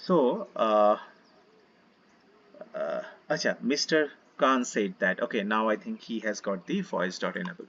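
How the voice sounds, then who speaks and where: Man, Indian accent, gentle meditation teacher, husky tone, muffled voice-chat sound. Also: fairly low pitch, unhurried. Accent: Indian English. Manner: relaxed, gentle, many fillers, invites listeners to join in. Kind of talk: casual online session talk.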